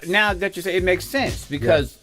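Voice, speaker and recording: A man's voice: high-pitched, moderate pace, a bit bright, faint music behind. A man co-hosting a radio show in a casual conversational style. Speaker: radio co-host.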